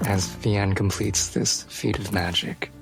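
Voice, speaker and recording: A man playing a tabletop fantasy game, narrating his character's actions in halting, measured storytelling. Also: fairly low pitch, steady tone, creaky, over music. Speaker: player.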